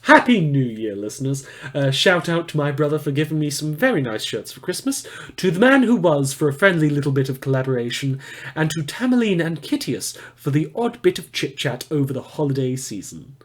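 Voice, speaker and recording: A man with a somewhat high voice talks casually as a podcast author and host. He rambles a little and corrects himself as he addresses his listeners. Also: very animated, a little fast.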